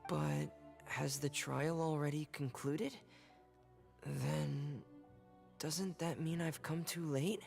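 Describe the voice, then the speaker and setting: An actor playing a shy, anxious, downcast young man in a video game, soft-spoken and hesitant. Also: on the high side, fairly even intonation, takes it slowly, creaky, soft background music. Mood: dejected, worried.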